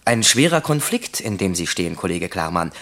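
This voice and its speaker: An actor playing a cheeky young office colleague in a German radio play, needling with mock-solemn irony in a husky voice. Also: male voice, low voice, very animated, moderate pace, fairly bright tone. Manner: ironic, teasing, mock-solemn.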